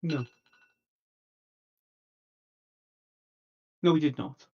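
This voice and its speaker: A man playing an earnest, diplomatic vampire envoy in a tabletop roleplay, speaking in a measured, formal, persuasive way. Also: fairly high pitch, darkish tone, close to an everyday voice. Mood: frank. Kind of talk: in-character tabletop roleplay dialogue. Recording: dull lo-fi livestream audio.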